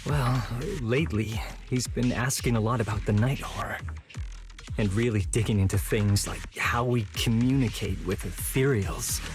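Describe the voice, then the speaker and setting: A man's voice: medium pitch, steady tone, normal speed, close to an everyday voice. A man voicing an earnest, trusting outcast watcher in a video game, speaking with a wondering, slightly hesitant manner. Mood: puzzled, recounting. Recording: music behind the voice. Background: game music.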